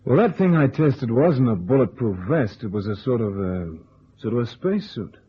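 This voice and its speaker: An actor playing a wisecracking, easygoing operative with a wry, colloquial manner in an old-time radio drama. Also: male voice, medium pitch, big pitch swings, normal speed, husky. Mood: wry grumbling. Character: wisecracking, easygoing male operative, wryly reluctant hero. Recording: muffled, noisy vintage radio recording.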